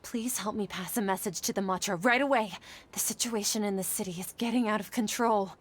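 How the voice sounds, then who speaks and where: A woman's voice: medium pitch, brisk, natural voice. A woman playing an earnest, conflicted young female scholar in an anime video game, polite and sincere, hesitant under pressure. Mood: anxious.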